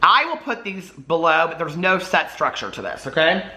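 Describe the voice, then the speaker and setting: Bright, high-voiced chatty man, playfully dramatic. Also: big pitch swings, moderate pace. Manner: gushy, playfully dramatic, rambling asides. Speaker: book vlogger hosting a readalong. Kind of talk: casual chat to camera.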